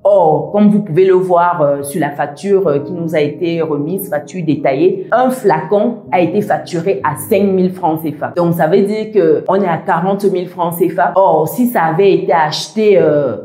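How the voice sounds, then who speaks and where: Woman vlogger with a diaspora accent, low and mellow, deliberate, hesitant pauses, echoey room. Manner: measured, methodical, many "euh" pauses. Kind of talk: explaining to camera.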